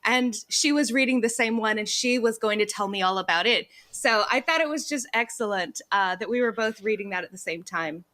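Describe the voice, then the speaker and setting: A woman's voice: a little high, fairly quick, hardly any pauses, bright, forceful tone. A woman hosting a podcast, eager and gushing, with many filler words. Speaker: podcast host. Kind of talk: casual podcast interview.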